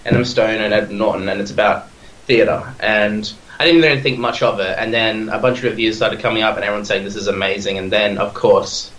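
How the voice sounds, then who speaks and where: A man's voice: lowish voice, steady tone, a little fast, fairly bright tone, roomy sound, rough recording. A young man in his twenties with an Australian accent, talking as a podcast guest in a rambling, eager way full of fillers and restarts. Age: 20s. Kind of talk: casual chat.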